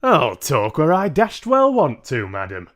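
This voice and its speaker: A man's voice: on the high side, wildly swooping pitch, measured pace, pitched above the performer's own voice. A man voicing a large, coarse, easily irritated father in a brusque, pompous manner for a storybook. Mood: stubborn, annoyed.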